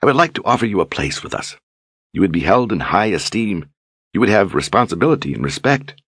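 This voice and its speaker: A man's voice: low voice, sing-song, brisk, fairly bright tone, breathy edge, dry recording, studio quality, voice pushed up, heavily acted. A man voicing a haughty, mocking older sorceress who talks down to everyone. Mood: coaxing. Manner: mocking, condescending. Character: haughty older female sorceress, sharp-tongued.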